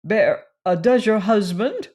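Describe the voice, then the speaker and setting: A woman reader voicing an anxious, fussy elderly woman cousin who pleads and frets in a high fluttering voice. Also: lively intonation, slow pace, a little mellow. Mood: pleading fussy.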